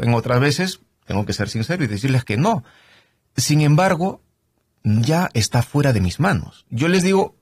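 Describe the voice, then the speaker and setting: A middle-aged man from Canada, a trial lawyer, explaining his work in a relaxed interview with many fillers and tag questions. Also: mid-range voice, husky, no echo, studio quality. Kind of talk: conversational interview.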